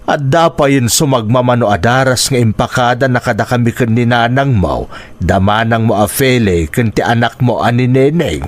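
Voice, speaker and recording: Blunt, scolding Ilocano man, acted, mid-pitched. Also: normal speed, close to an everyday voice. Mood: frank. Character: blunt, scolding man confronting a neglectful mother. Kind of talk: melodramatic radio drama acting.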